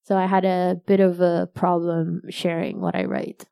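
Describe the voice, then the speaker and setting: A young woman whose first language is Arabic, chatting casually as a guest in an interview, self-deprecating and quick to laugh. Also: on the low side, level tone, creaky, dark tone, smooth, no room sound, clean studio sound. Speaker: novelist guest.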